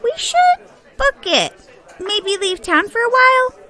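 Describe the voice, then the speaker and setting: An actress playing a lively, teasing detective's sidekick in an audio drama, chatty and animated. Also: female voice, very high voice, unhurried, some chatter behind, full character voice. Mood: uneasy.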